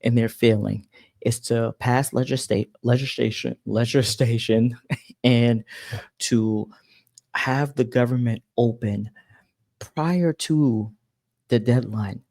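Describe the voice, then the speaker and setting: A man gives casual news commentary in a mid-pitched voice. He sounds exasperated and emphatic, often rewording as he goes. The sound is dry, with little room in it. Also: fairly even intonation, slow, gravelly, a little mellow, no echo. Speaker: commentary show host.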